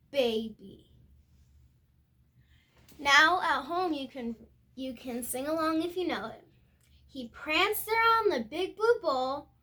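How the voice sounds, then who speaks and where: A young girl hosting a video, reading a storybook aloud haltingly, repeating and correcting words, with casual asides to viewers. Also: high-pitched, pushed and bright. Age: child.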